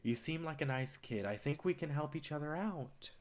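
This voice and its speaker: A man's voice: a little high, lively intonation, normal speed, lightly acted. A man acting in a staged play reading as a brash, cocky film distributor, swaggering and persuasive with plenty of profanity. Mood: pushy, persuasive. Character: brash, cocky, foul-mouthed male film distributor, smooth manipulator.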